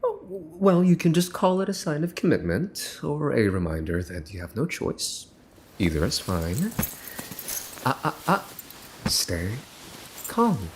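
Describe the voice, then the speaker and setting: A man playing a possessive, teasing young mafia boss's son in an ASMR roleplay, smug and taunting, slipping into breathy whispers. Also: fairly high pitch, sing-song, unhurried, slight background noise. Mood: mocking, coaxing. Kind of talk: ASMR boyfriend roleplay acting.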